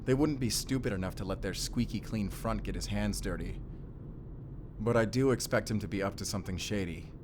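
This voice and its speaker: A man playing a caring, playful but protective boyfriend in an audio roleplay, speaking in a soft, casual, hesitant way. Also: on the low side, brisk, noisy background, natural voice. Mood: worried, cautious. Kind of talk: audio roleplay acting addressed to the listener.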